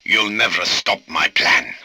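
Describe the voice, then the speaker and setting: An actor playing a cold, gloating villain in a video game, with a gravelly voice and a mocking drawl. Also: male voice, low-pitched, animated, unhurried, sharp tone, no echo, full character voice. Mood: gloating menace.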